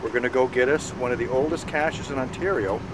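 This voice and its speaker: A man's voice: medium pitch, brisk, noisy background. A man in his forties from Canada, chatting upbeat on a geocaching vlog outdoors, filmed on a handheld camera at arm's length. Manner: chatty, upbeat, laughs often. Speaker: geocaching vlogger. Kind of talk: casual outdoor vlog commentary. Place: outdoors on a bridge and wooded trails in summer.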